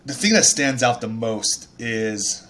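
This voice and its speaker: A man's voice: medium pitch, lively intonation, takes it slowly, a bit bright. A man in his thirties from Taiwan, a startup founder telling his life story in a casual interview, chatty with many fillers and restarts.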